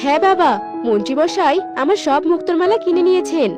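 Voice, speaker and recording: A woman's voice: very high voice, animated, fast. A woman voicing an earnest, loyal young daughter in a fairy-tale cartoon, speaking emphatically. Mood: cheerful. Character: earnest, loyal young daughter who sells pearl necklaces. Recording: faint music under the voice.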